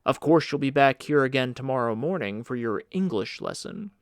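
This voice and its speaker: A man's voice: medium pitch, animated, normal speed, no echo, studio quality, natural voice. A man reading an audiobook as a stern, insistent uncle and guardian, speaking formally and firmly. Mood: firm, curt. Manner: firm, formal, commanding. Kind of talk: audiobook character dialogue.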